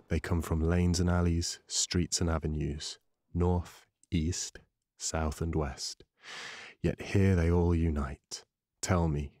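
A man with a husky voice reads a literary novel as an audiobook narrator, in a measured, dramatic storytelling manner. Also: low voice, darkish tone, no room sound. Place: dry studio voice-over recording.

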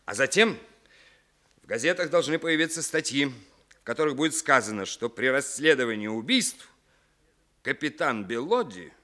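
An actor playing a shrewd, influential schemer in a 1960s Soviet radio drama, speaking emphatically and deliberately. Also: male voice, medium pitch, animated, pushed and bright. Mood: forceful, scheming. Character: shrewd, influential man plotting a cover-up.

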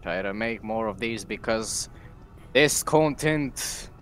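A man playing an online game with friends, excitable and jokey, shouting at the action. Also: on the low side, takes it slowly, faint music behind. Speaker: fan player. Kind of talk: casual gaming chat.

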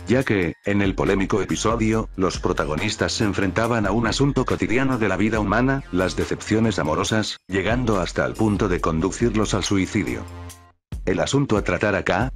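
Male narrator, low register, scripted countdown read with light music underneath. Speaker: narrator of a cartoon top-list video.

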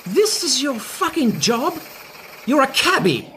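An actor playing a vain, petulant washed-up rock star in a scripted comedy podcast, with a pompous, theatrical manner. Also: male voice, very high-pitched, very animated, slow, pushed and bright, slight background noise, full character voice. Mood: indignant. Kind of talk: scripted audio comedy acting.

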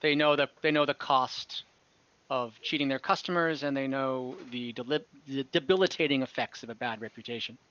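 A man's voice: medium pitch, moderate pace, a bit bright, muffled recording, natural voice. A man playing a wealthy, fussy father of a young cleric, in a tabletop game over a video call. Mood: paternal. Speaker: game master.

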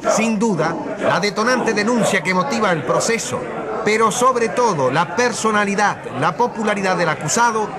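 A woman acting an excited live radio reporter in a radio drama, speaking urgently and projecting, sometimes breaking off and repeating herself. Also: medium pitch, moderate pace, natural voice. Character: excited female radio reporter amid a packed crowd. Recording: loud crowd noise behind. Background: noisy crowd.

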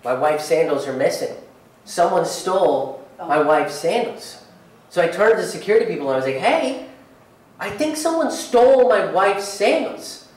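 A man in his thirties tells a stand-up story with a lively, swooping voice, jumping up high for the lines he acts out. He is heard from a distance through a handheld mic in a very echoey hall. Faint room sound sits behind him. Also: moderate pace. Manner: animated storytelling, acting out quotes with big pitch jumps. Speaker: stand-up comedian. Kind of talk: stand-up comedy set. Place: theater stage in front of a curtain, live audience. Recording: roomy hall audio from a distance.

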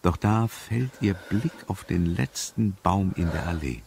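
A man narrating a scripted audio drama in a measured, suspenseful manner. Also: deep voice, animated, gravelly, a little mellow, slight background noise. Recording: music under the voice.

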